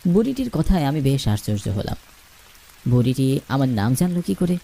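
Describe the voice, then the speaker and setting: Guy narrating a spooky story, mellow gravelly voice, suspenseful, medium pitch. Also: big pitch swings, moderate pace. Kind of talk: first-person scripted ghost story narration.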